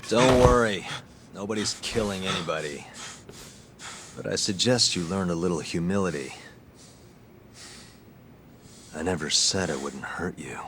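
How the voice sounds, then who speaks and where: A man's voice: low voice, normal speed, a bit bright, a little hiss or hum. A man playing a cool, wry, determined detective in a video game cutscene. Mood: quietly menacing.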